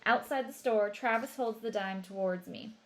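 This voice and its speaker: A woman narrating a children's novel as an expressive read-aloud. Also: mid-range voice, normal speed.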